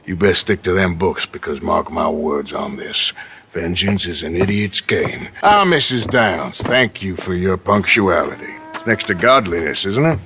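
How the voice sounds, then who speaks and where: An actor playing a menacing, sardonic American outlaw with a Western drawl in a game cutscene. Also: male voice, low-pitched, expressive, a little slow, gravelly, sharp tone, slight background noise, full character voice. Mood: dryly polite. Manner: dry, sardonic, threatening. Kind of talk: dramatic game cutscene acting.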